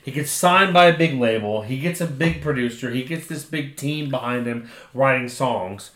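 A man's voice: mid-range voice, normal speed, a bit bright. Opinionated man in his thirties, roomy sound. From United States. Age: 30s. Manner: opinionated, blunt, swears casually. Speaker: podcast co-host. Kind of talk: casual chat. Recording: roomy echo.

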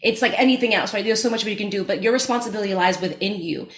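A woman's voice: mid-range voice, fast, echoey room. A woman hosting a podcast, talking in an earnest, reflective way. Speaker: podcast host. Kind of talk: casual podcast chat.

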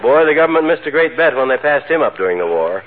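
An actor playing a folksy, grumbling, wisecracking middle-aged husband in a 1940s radio comedy. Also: male voice, medium pitch, sing-song, quick pace, natural voice. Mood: amused, wisecracking. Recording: narrow-band vintage radio, faint noise, studio audience.

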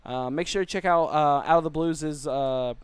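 A man's voice: on the high side, moderate pace, smooth. A man hosting a casual podcast with friends in a garage, easygoing and profane, with many ums and uhs. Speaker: podcast host. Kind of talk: casual chat among friends. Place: home garage.

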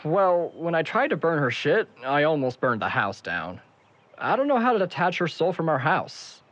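A man's voice: fairly high pitch, very animated, normal speed, a bit bright, no room sound, lo-fi sound. A young man acting a cocky, evasive, sardonic character with a grudge in an audio drama. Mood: grimly flippant. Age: young adult. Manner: sarcastic, flippant.

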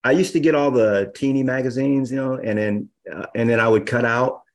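A man in his fifties, a guest on a podcast, telling stories in a relaxed, rambling way with many fillers. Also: medium pitch, a little fast. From Los Angeles area, USA. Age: 50s. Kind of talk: casual storytelling interview.

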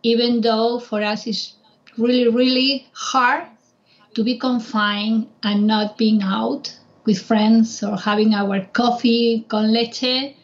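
A woman's voice: a little high, steady tone, slow pace. A woman from Spain, speaking English with a Spanish accent as a podcast guest, reminiscing and stumbling a little as she talks. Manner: warm, reminiscing, restarts and stumbles. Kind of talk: casual remote interview. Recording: dull lo-fi remote audio.

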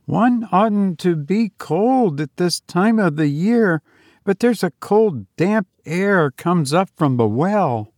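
A man's voice: high-pitched, lively intonation, slow, a little mellow, no echo, clean studio sound, pitched above the performer's own voice. A man voicing a young woman in an audiobook, girlish and emotional, tender and easily startled. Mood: affectionate, teasing. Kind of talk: audiobook character voice.

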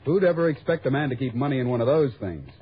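A man's voice: mid-range voice, lively intonation, quick pace, husky. An actor playing an earnest, easily exasperated insurance office man in 1950s radio drama dialogue. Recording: dull vintage radio recording.

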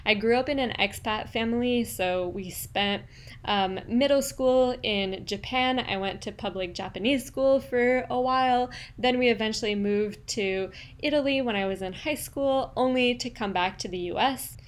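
A woman from Quebec whose first language is French, hosting her podcast and telling her own story in a relaxed, personal way with fillers. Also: a little high, moderate pace. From Quebec, Canada. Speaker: podcast host. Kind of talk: casual solo podcast monologue.

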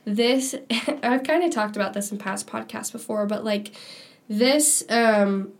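A woman's voice: fairly high pitch, measured pace. A young woman co-hosting a casual faith-based podcast, sounding nasal from a cold and talking in a chatty way full of "like" and "um". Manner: chatty, lots of "like" and "um". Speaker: podcast co-host.

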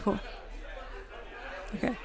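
A woman playing a flustered, smitten, jealous roommate in an intimate roleplay audio, speaking haltingly and nervously. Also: natural voice. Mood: relieved, flirtatious. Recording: bar crowd murmur behind voice.

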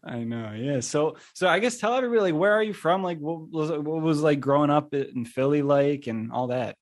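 A man's voice: on the high side, expressive, a little fast. Young man hosting a chatty podcast. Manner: rambling, leans heavily on "like". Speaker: podcast host. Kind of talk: casual podcast chat.

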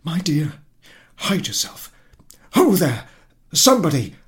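Male narrator as flustered country gentleman, raised pitch, bossy, panicky, exclamatory, storybook character. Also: sing-song, takes it slowly. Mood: panicked ordering. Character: flustered, blustering husband, a cowardly country gentleman.

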